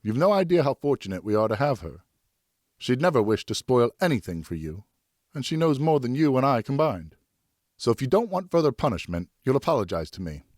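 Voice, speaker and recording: A man playing a firm but loving father who speaks in a stern, measured way in an audiobook. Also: medium pitch, big pitch swings, darkish tone, dry recording, studio quality, voice pushed up.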